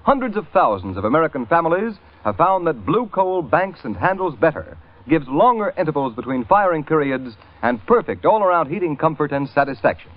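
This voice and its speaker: A man's voice: on the high side, big pitch swings, fairly quick, hardly any pauses, narrow, tinny audio. A man delivering a polished, salesmanlike commercial as a vintage radio announcer. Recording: thin, band-limited old radio recording.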